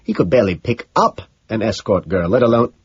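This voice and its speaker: Guy doing a posh smug socialite man, affected and condescending, voice swoops high, slightly dull recording. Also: sing-song, brisk, slightly rough, dry recording, heavily acted. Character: smug, dismissive, amused upper-class socialite man.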